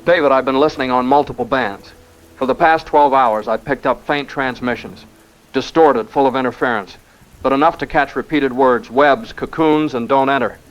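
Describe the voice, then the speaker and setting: A man's voice: mid-range voice, fairly even intonation, moderate pace, close to an everyday voice. Man as grave ham radio operator, muffled signal. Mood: grave, warning. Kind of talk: scripted apocalyptic radio drama. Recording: dull lo-fi ham radio feed, rain.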